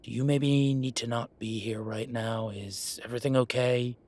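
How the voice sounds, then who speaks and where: A man playing a gruff, sarcastic merman who runs a tattoo shop, speaking in a halting, conversational audio roleplay style. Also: mid-range voice, fairly even intonation, a little mellow, lightly acted. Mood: concerned, gruff. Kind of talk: naturalistic one-sided audio roleplay.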